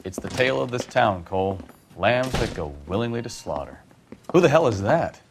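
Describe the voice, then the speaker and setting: A man's voice: medium pitch, very animated, moderate pace, creaky, a little hiss or hum. A man playing a cynical, world-weary 1940s police detective in a video game, sardonic and grumbling. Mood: suspicious, weary. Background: music.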